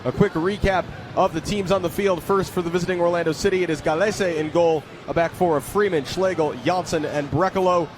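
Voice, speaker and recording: A man's voice: sits high, steady tone, brisk, hardly any pauses. A man doing live play-by-play soccer commentary on the radio, from an open-air stadium. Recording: noisy outdoor stadium ambience. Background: loud stadium ambience.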